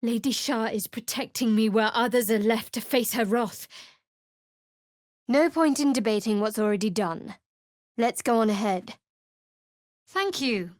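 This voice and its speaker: Woman acting a guarded, sardonic young cleric, higher-pitched. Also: no room sound, lightly acted. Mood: dry, assured. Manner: dry, measured, wry delivery. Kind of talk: fantasy video game voice acting.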